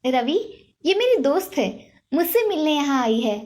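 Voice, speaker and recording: A woman voicing a brash, short-tempered young wife in an animated moral story. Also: sits high, normal speed. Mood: defensive.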